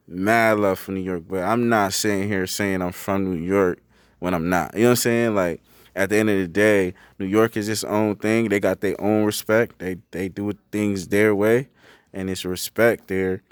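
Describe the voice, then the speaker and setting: A young rapper from Connecticut talking quickly and earnestly as a podcast guest, often adding "you know what I'm saying". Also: male voice, low-pitched, fairly even intonation, smooth, no echo, studio quality. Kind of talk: casual interview talk.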